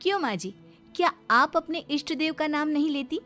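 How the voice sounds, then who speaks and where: Woman voicing a defiant young wife, high-pitched. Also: lively intonation, normal speed, bright, forceful tone, soft background music. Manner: argumentative, sarcastic. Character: defiant young city-bred wife, outspoken and indignant. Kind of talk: Hindi cartoon story dialogue.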